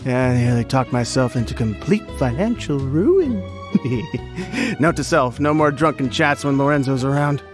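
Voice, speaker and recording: A man's voice: mid-range voice, lively intonation, a little slow, music in the background, natural voice. A man playing a jittery tipsy man. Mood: sheepish. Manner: rambling, hushed and jumpy, stammers. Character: tipsy, nervous middle-aged man, jittery and fearful. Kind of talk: video game dialogue.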